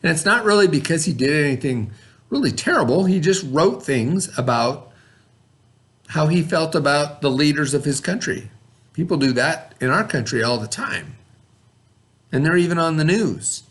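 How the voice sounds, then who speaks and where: A man's voice: fairly high pitch, lively intonation, moderate pace, a bit bright. A man teaching young children, reading a story aloud and then reviewing it with patient questions.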